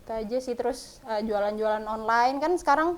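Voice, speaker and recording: A woman's voice: a little high, a little fast, a little hiss or hum. A woman from Madura talking as a guest in a casual interview, chatty and modest, laughing often. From Madura, Indonesia. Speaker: guest, small dessert business owner.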